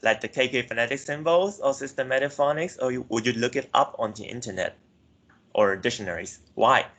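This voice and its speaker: A man's voice: medium pitch, a little fast, a bit bright. A young man from Myanmar giving a class presentation, hesitant, with frequent self-corrections and filler words. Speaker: student presenter.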